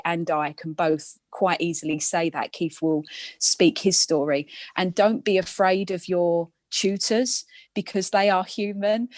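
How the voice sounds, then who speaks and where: A woman with a fairly low voice gives a motivational talk to students. She is earnest and emphatic, advising directly, and the sound is dry and close. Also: dry recording. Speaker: guest speaker, industry professional.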